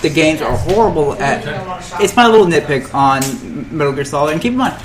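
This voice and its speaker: A man's voice: fairly high pitch, lively intonation, brisk. A man talking casually and with strong opinions on a panel, rambling and often restarting and repeating his phrases. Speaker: podcast panelist. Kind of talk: casual opinionated chat about games and films. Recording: background crowd chatter.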